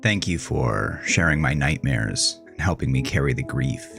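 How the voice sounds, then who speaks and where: A man's voice: rumbling low, expressive, moderate pace, creaky, a bit bright, faint music behind. A man speaking as a horror podcast host, reading a scripted sign-off.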